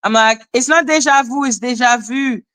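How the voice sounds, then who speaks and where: Bright-voiced woman ranting. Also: high voice, moderate pace, dry recording. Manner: sarcastic, exasperated, rambling. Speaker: livestream host. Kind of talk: ranting live-stream commentary.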